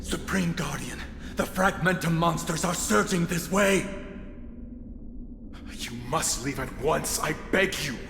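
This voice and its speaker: High, urgent soldier, echoing. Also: male voice, slow, creaky. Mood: urgent, alarmed. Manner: urgent, deferential, begging. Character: loyal, alarmed soldier urging his ruler to flee. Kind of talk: video game story voice acting. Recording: echoey reverb with faint music.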